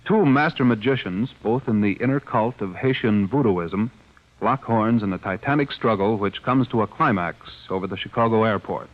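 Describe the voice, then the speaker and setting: Low-voiced 1940s American radio announcer, male. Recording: muffled 1940s radio recording.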